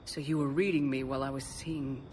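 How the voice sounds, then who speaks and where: An actress playing a resolute, composed military commander who speaks directly in a video game. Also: female voice, a bass voice, fairly even intonation, fairly quick, noisy background. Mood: composed, urgent. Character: resolute military commander, human space hero. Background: music.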